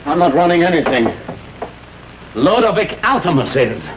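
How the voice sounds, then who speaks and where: A determined investigator with a fairly high, very animated voice and blunt, insistent delivery. The sound is that of old television drama, dull and muffled. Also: male voice, moderate pace, noisy background. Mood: alarmed. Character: stubborn, agitated male investigator, determined and blunt. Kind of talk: 1950s live television drama acting.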